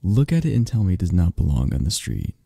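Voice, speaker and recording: A man playing a possessive, flirtatious, intimidating mafia boss in an ASMR roleplay, husky and murmuring, with drawn-out teasing pauses. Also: deep voice, wildly swooping pitch, warm tone, no room sound, clean studio sound, heavily acted. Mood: cool, appraising. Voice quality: husky, gravelly, at times breathy.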